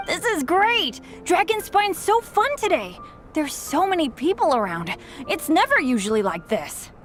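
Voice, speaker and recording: A woman's voice: extremely high pitch, sing-song, unhurried, music in the background, heavily acted. A woman voicing an earnest, good-natured boy adventurer in a video game, youthful and guileless. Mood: excited.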